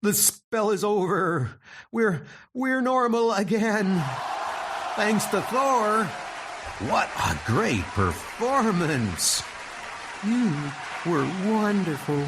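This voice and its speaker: A man voicing an excitable, awestruck nurse and bystanders in a comic book dub, with melodramatic exclamations. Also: sits high, extremely slow, noisy background, pitched above the performer's own voice, exaggerated voice. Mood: relieved, admiring.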